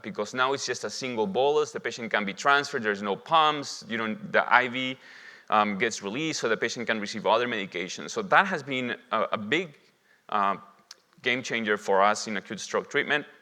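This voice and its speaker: Bright-voiced man lecturing in a reverberant hall. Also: mid-range voice. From Lima, Peru. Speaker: physician presenting as a conference speaker. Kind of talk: medical conference lecture. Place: indoor conference hall at a symposium. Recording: reverberant hall, long echo.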